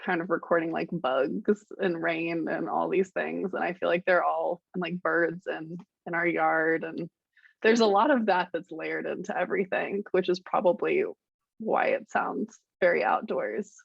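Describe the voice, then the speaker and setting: A soft-spoken woman, a musician guest in a casual interview, talking hesitantly with many fillers and restarts. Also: lowish voice, fairly even intonation, unhurried, creaky, slightly rough, no echo, dull audio. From Richmond, Virginia, United States.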